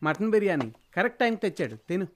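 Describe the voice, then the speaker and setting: Young friend, teasing, nagging, high-pitched, quick, film comedy. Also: male voice, sing-song, fairly bright tone, a little hiss or hum.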